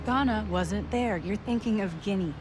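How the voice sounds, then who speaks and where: A woman's voice: medium pitch, moderate pace, background noise, close to an everyday voice. An actress playing a defiant, proud young woman who speaks bluntly in a game cutscene. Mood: wry. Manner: blunt and confrontational.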